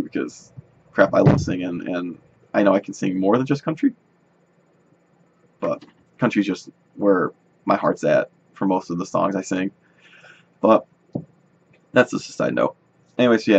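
Male vlogger, twenties: husky, gravelly, off-the-cuff rambling delivery, dull home-recorded sound. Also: on the low side. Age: 20s. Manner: rambling, halting storytelling. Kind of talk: casual chat to camera. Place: indoors in front of a draped backdrop. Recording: dull, lo-fi webcam-style sound.

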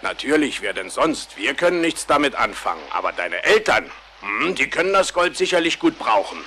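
A man's voice: medium pitch, big pitch swings, normal speed, bright, forceful tone, slight background noise. A man voicing a dry, talkative, reassuring bird companion in a cartoon audio play.

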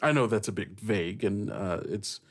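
A man's voice: fairly low pitch, normal speed, husky, voice pushed down. A man voicing a friendly, flustered talking magic book that rambles familiarly in improvised tabletop roleplay. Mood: explaining.

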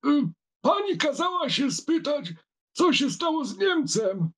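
A man's voice: very high voice, big pitch swings, a little slow, fairly bright tone, voice pushed up, heavily acted. A man voicing an old manservant who delivers messages in a rustic, hesitant way in an audiobook. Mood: dutiful.

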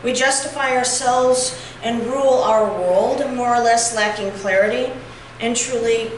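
A middle-aged woman pastor preaching a reflective, measured sermon at a pulpit, heard from a far camera. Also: a little high, slight background noise. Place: church sanctuary, at a pulpit. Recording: very echoey church from a distance.

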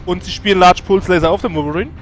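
A man's voice: high-pitched, quick pace, soft background music. A man co-commentating a video game match, analytical and eager about tactics.

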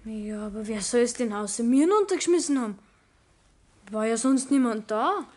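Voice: medium pitch, expressive, moderate pace, darkish tone, lightly acted. A performer playing a timid, guilty teenage boy apprentice in a 1960s radio play, hesitant and stammering, with a Bavarian accent. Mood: nervous, guilty.

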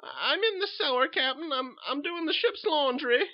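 A man voicing a timid, fretful old spinster, speaking in a stammering, pleading falsetto. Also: expressive, moderate pace, lo-fi sound.

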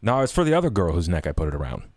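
A man voicing a calm, dry-humored monster hunter in a roleplaying game, understated and deadpan. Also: sits low, rapid-fire, no room sound, heavily acted. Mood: deadpan, gentle.

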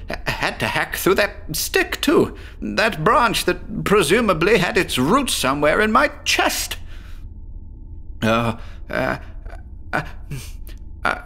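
A man's voice: on the high side, vocal fry, sharp tone, breathy edge, exaggerated voice. An actor playing a frantic, haunted patient who stammers as he confesses a horror story in an audio drama.

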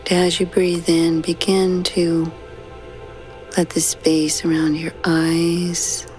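A woman guiding a sleep meditation in a slow, soothing, hushed manner with long pauses. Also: fairly low pitch. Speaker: meditation guide. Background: soft background music.

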